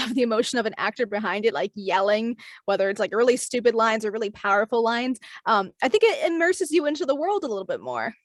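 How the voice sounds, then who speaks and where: A woman voice actress answers interview questions in a slightly high voice. She is friendly and casual, with many ums in her speech. The recording is dry and close to the mic. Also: dry recording. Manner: friendly, light laughs, frequent ums. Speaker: voice actress guest.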